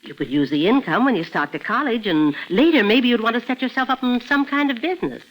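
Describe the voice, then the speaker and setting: An actress playing a gentle, doting middle-aged mother of a teenage boy in 1940s radio drama style. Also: on the high side, animated, quick pace. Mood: earnest. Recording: noisy 1940s radio broadcast recording.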